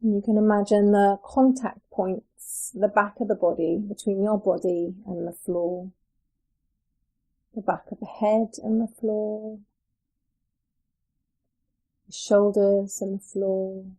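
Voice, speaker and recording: A woman with a dark, relaxed, mellow voice leads a guided relaxation. She sounds like a yoga teacher, soothing and gentle, leaving unhurried pauses between phrases. Her pitch sits in an ordinary middle range for a woman. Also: rough recording.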